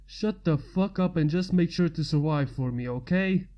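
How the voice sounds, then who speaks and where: A woman's voice: low voice, moderate pace, lo-fi sound, lightly acted. A woman voicing a loyal, resolute male friend of a prince in a fantasy story, firm and urgent. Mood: resigned.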